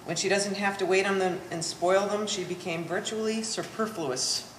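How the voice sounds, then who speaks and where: A woman's voice: medium pitch, moderate pace, lightly acted. A woman playing a bitter, sardonic Polish-American daughter of sixty with pompous vocabulary, in a staged play reading. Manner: dry, pompous big words. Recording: echoey room, faint background noise.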